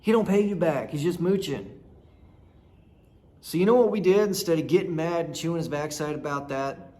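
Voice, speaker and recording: A man's voice: on the high side, normal speed, warm tone. Blunt folksy storytelling man. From rural Nebraska. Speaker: storyteller host. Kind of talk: casual personal storytelling.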